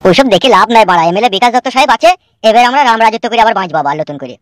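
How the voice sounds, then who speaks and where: A man voicing a fawning, excitable gangster henchman in an amateur comedy cartoon, servile and crude. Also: high voice, rapid-fire, dry recording, clean studio sound. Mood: gossipy. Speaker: cartoon voice actor.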